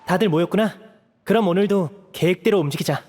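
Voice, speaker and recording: Dutiful young male leader for a game, acted by a man: fairly high voice, polite, measured, reverberant sound. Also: slight background noise, lightly acted. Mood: calm, reassuring. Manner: calm, measured, explanatory. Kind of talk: video game voice acting.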